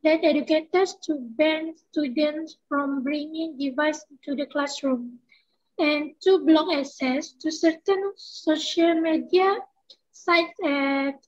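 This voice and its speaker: A young woman with a Malaysian accent reading a prepared panel answer over a video call, halting, with fillers and self-corrections. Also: high-pitched, fairly even intonation, lo-fi sound. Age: young adult. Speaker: student panelist. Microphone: laptop microphone on video call.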